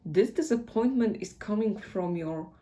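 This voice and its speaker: A woman's voice: medium pitch, expressive, a little slow, warm tone. A woman with a Polish accent, a native Polish speaker, giving a casual tarot reading in a hesitant way and often searching for words. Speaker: tarot reader.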